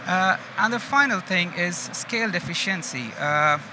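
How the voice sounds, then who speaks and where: A man's voice: high voice, animated, moderate pace. A man from India with an Indian accent gives an informal conference presentation in a conversational way, often checking in with his listeners. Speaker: conference speaker, data engineering manager. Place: open stage at a busy exhibition. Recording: loud background noise of busy event hall. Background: loud ambient event noise.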